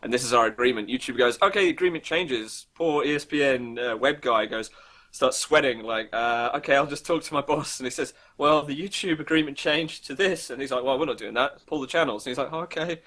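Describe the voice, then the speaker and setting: A man's voice: medium pitch, brisk, a bit bright. A man co-hosting a casual, comedic internet talk show, irreverent and sweary, often slipping into acted-out imaginary dialogue.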